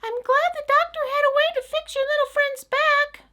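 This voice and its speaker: A woman voicing an excited, earnest little child who is listening to a story. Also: extremely high pitch, moderate pace, a little hiss or hum. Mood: amazed, glad.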